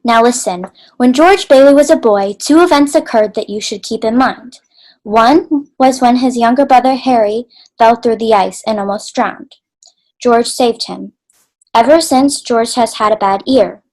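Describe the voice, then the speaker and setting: A woman's voice: on the high side, measured pace, darkish tone, poor audio. A woman playing a matter-of-fact senior angel, narrating instructively in an old-time radio play. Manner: storytelling, instructive. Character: matter-of-fact female senior angel telling a case history.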